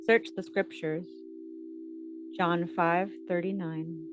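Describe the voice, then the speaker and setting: A woman narrating a scripted guided meditation with scripture readings, reciting in measured short phrases. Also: on the low side, level tone, background noise.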